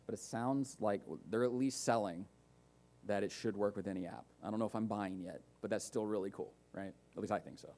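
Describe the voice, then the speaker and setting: Male conference speaker, low voice, relaxed Q&A delivery. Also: brisk. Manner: relaxed, lots of fillers and asides. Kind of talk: casual technical talk with audience questions. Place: conference room.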